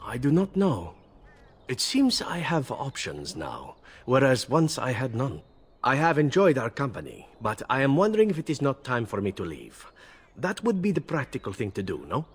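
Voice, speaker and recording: Husky, suave assassin. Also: male voice, medium pitch, sing-song, moderate pace. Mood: reflective. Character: suave male rogue assassin, cool, wry, courteous. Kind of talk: video game voice acting.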